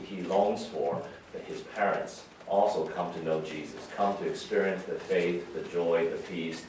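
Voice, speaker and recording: A low-voiced man gives an informal funeral message as a pastor. He speaks haltingly and reflectively. The sound is very echoey and distant, with faint noise behind him. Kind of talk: conversational memorial sermon. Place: large reverberant room at a memorial service.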